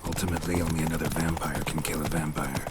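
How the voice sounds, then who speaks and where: Casting: stoic game monster hunter, extremely deep voice, deadpan and terse, loud noise behind. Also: male voice, fast. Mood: stoic, matter-of-fact. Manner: deadpan, terse, clipped sentences. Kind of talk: video game voice acting.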